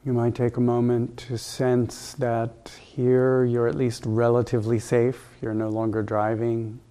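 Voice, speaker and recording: A man leading a guided meditation as a teacher, speaking calmly and gently in unhurried, spaced instructions. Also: medium pitch, smooth.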